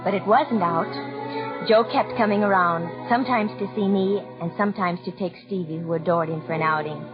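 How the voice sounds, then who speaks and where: An actress playing a gentle, earnest young mother in a 1940s radio drama. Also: fairly low pitch, normal speed, over music, natural voice. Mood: reflective. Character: gentle, flustered young mother of small boy. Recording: muffled old 1940s radio recording.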